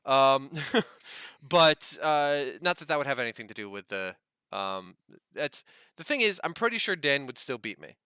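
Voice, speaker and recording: A man's voice: mid-range voice, big pitch swings, a little slow, bright, forceful tone, clean tone, dry recording, clean studio sound. A man co-hosting a gaming podcast, giving news follow-ups in a wry, self-deprecating way. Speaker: podcast co-host. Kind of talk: casual gaming news chat.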